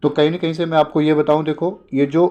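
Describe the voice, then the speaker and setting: A man's voice: a little high, flat delivery, fast talker, mellow, dull audio. A man hosting an informal news explainer, repeating points and posing short questions that he answers himself. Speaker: host of an exam updates channel.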